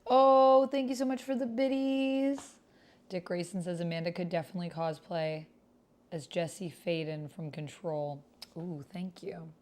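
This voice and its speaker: A woman's voice: on the low side, measured pace, darkish tone. A woman hosting a casual livestream, chatting with viewers and half to herself as she reads out typed text. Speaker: livestream host and role-player. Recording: clearly noisy, keyboard clatter. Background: computer keyboard typing.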